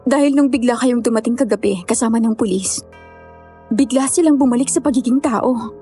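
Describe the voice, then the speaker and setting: Woman as mellow, polite young survivor. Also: on the high side, fairly quick, soft background music, lightly acted. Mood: puzzled. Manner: polite, deferential. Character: grateful, questioning young woman, monster survivor. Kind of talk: horror audio drama dialogue.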